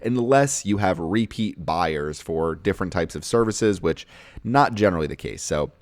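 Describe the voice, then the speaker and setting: A man's voice: sits low, expressive, moderate pace, dry recording. A man hosting a casual screen-share tutorial, explaining each step in a plain-spoken, instructional way. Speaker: tutorial host and online course creator.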